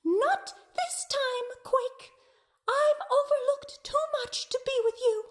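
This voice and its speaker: A woman's voice: very high voice, slow. A woman playing an indignant, principled female scientist in a melodramatic radio play, earnest and dramatic. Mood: fed up.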